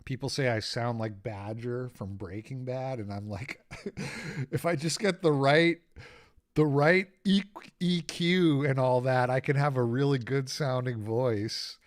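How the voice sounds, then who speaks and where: A man with a raspy voice hosts a casual livestream at an unhurried pace. He rambles, makes sarcastic asides and gets irritated easily, and his pitch sits in a middle range. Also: fairly even intonation. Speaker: host.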